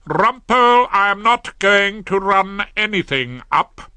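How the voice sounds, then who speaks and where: A stern, imperious wife scolds in short, reproachful sentences, as a man puts her on in an audiobook. Her voice is lifted high and sharply bright. The reading is close and dry. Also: lively intonation, takes it slowly, smooth, dry recording, heavily acted. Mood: indignant. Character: stern domineering middle-aged wife, indignant. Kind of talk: audiobook character voice.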